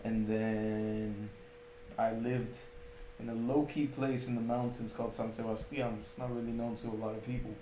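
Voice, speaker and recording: A young man talks casually as a podcast guest. His voice is mellow and relaxed, with a middle pitch. The room adds noticeable echo, and there is faint noise behind him. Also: moderate pace. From Puerto Rico, later Arizona. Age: late teens to early 20s. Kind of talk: casual chat. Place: indoors, at a home.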